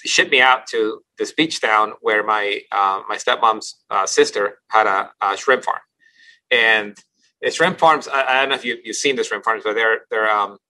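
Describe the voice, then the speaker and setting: A man's voice: medium pitch, pushed and bright, low-quality recording. A man from Ecuador, a company CEO, telling his life story as a podcast guest, thoughtful, often restarting and repeating words. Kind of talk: casual interview storytelling.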